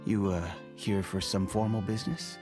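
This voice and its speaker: A man's voice: fairly low pitch, steady tone, moderate pace, over music, close to an everyday voice. A man voicing an easygoing, wry town guard in a video game, with breezy interjections. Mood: breezy, defensive. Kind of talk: video game voice acting, dramatic dialogue.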